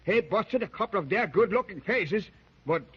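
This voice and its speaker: An actor playing a rough-talking, loyal sidekick with folksy, ungrammatical speech, in a 1930s radio drama. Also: male voice, high voice, lively intonation, normal speed, full character voice. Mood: worried. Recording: dull lo-fi 1930s radio transcription.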